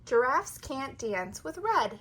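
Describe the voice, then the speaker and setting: A woman's voice: sits high, very animated, slow, a bit bright, breathy edge. A woman reading a rhyming picture book aloud as a storytime host, theatrically and with chatty asides to young listeners.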